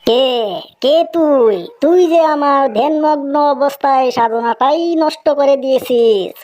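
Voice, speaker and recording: A woman's voice: sits high, measured pace, darkish tone. A woman voicing a stern, easily angered male hermit guru in a cartoon, scolding and commanding. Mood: angry. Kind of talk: Bengali cartoon character dialogue.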